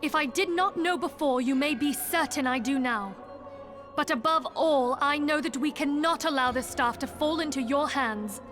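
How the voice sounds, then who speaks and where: A high-pitched young heroine played by a woman leads with earnest resolve in a game scene. Her phrasing is formal and solemn, set against loud music. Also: moderate pace, close to an everyday voice. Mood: defiant, resolute. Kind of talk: video game voice acting.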